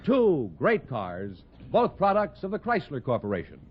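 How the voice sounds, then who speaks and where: Lively American radio announcer, vintage muffled sound. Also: male voice, a little high, moderate pace, husky, faint music behind. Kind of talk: scripted 1940s-50s radio announcing and ad reading. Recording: dull vintage radio broadcast recording.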